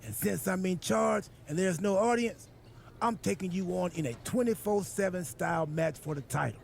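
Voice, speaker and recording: An actor playing a quirky, self-important general manager in a wrestling video game, rambling and absurdly literal in a gravelly voice. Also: male voice, moderate pace, darkish tone, a little hiss or hum. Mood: cheerfully oblivious. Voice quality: gravelly, high husky voice.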